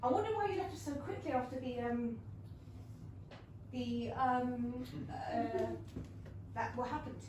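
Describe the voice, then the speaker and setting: A woman playing a witty, well-meaning young gentlewoman with a wry manner in a staged comic period play. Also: a little high, a little slow, pauses often, close to an everyday voice. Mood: awkward, wry. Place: small theatre stage, before an audience. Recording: very echoey theatre, distant mic, noisy.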